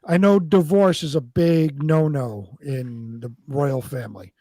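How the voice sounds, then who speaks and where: A man's voice: fairly high pitch, unhurried, mellow, no room sound, studio quality. A man speaking as a talk show panelist, chatting casually and swearing freely. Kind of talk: casual, profane panel chat.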